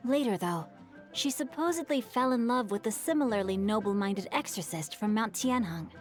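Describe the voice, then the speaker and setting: A woman's voice: a little high, lively intonation, normal speed, natural voice. Woman voicing a bookish, articulate young man. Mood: thoughtful, narrating. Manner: articulate, formal, thoughtful. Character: bookish young man, polite, thoughtful, well-spoken storyteller. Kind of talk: video game voice acting, measured storytelling. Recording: game dialogue over background music. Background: game music.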